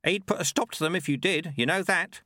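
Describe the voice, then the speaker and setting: Man voicing a wily old monk, pitched up. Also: brisk, sharp tone, no room sound, studio quality. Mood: firm, knowing. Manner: colloquial, chatty, rascally. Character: wily old monk mentor, irreverent and unbothered.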